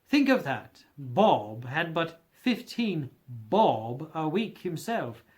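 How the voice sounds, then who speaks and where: A male audiobook narrator with a high voice for a man. He reads a scripted story theatrically, with expressive phrasing and widely swinging pitch.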